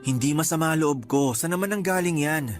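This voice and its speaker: A man's voice: a little high, moderate pace, soft background music, lightly acted. A man with a Filipino accent playing a lovestruck young suitor in a radio drama, earnest, hurt and defensive. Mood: sullen. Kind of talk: radio drama acting in casual dialogue.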